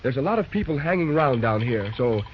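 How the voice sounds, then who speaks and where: An actor playing a brisk, determined young railroad dispatcher with an American accent, giving clipped, urgent lines in an old-time radio drama. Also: male voice, medium pitch, lively intonation, lightly acted. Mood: confident, scheming. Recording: dull, noisy vintage radio recording.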